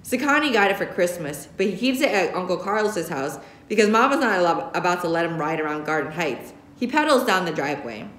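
Expressive American woman reading aloud, echoey room. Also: on the low side, a little fast, few pauses. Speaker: reader, teacher. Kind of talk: expressive read-aloud of a young adult novel. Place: indoor classroom. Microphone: camera a short distance away. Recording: roomy classroom echo.

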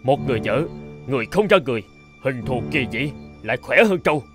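An actor playing a bitter husband in a cartoon folk tale, speaking in an emphatic, dramatic way. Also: male voice, medium pitch, lively intonation, fast talker, music in the background, close to an everyday voice. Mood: cold, bitter. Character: bitter, cold husband who turns terrified and begging. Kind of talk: Vietnamese cartoon voice acting.

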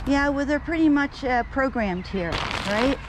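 Lady feeding birds on a city lawn, slow chatty talk, handheld cam, street noise all around. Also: sits high, lively intonation. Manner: chatty, talks to birds, complains about locals. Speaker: vlogger feeding wild birds. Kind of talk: casual outdoor vlog narration. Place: outdoors on a city lawn by a road. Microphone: handheld camera held low. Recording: outdoor noise of traffic and birds.

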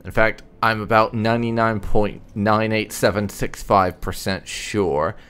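A man's voice: on the low side, steady tone, a little slow, a bit bright, smooth. A man hosting a gaming video, giving casual playthrough commentary in a dry, sardonic way.

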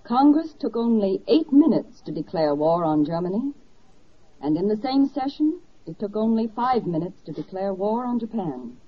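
A husky-voiced woman in a 1940s radio drama, reciting facts in a matter-of-fact way. The old recording sounds dull. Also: medium pitch, very animated, moderate pace.

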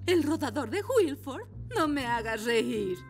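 High, sassy cartoon scooter girl. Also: big pitch swings, measured pace, full character voice. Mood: teasing. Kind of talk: animated children's dub. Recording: cartoon music behind.

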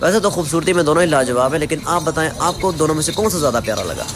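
Excited man narrating a livestock vlog. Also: on the high side, rapid-fire. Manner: playful, rhyming, self-mocking asides. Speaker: vlogger narrating voice-over. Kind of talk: enthusiastic voice-over narration.

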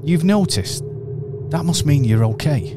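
A man voicing an excitable young male bear in a radio play, with high squeaky yelps. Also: unhurried, music in the background. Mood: excited. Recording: radio play with clear background noise.

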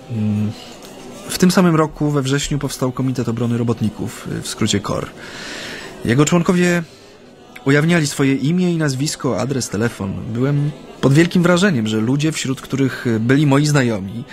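An actor playing an earnest Japanese man recalling his activist past in a radio play, with a reflective, halting delivery. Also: medium pitch, darkish tone, natural voice. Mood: earnest recounting. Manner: hesitant, reflective, with frequent hums and pauses. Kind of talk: radio drama, conversational recollection.

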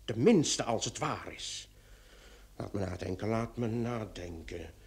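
A man's voice: fairly low pitch, expressive, a little slow, gravelly, darkish tone. An actor playing an earnest, anxious police commissioner in a radio play, emphatic and often repeating his words. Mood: pondering. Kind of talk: Dutch-language radio drama acting.

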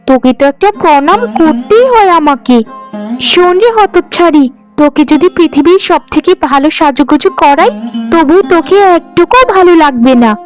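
High-pitched nagging sister-in-law voiced by a woman. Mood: mocking, bossy. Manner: nagging, sharp-tongued, scornful. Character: spiteful, bossy sister-in-law scolding a young woman. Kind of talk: Bengali cartoon voice acting.

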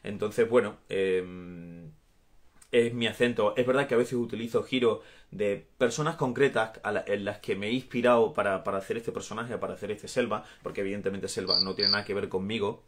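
Andalusian man, 30s, fairly low voice, casual live-stream chat recorded on a phone or webcam at home. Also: fairly even intonation, brisk. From Andalusia, Spain. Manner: warm, chatty, laughs often, gestures while talking. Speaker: actor answering fans in a live stream. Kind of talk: casual live chat reading viewer comments. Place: small home room. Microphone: phone or webcam at arm's length.

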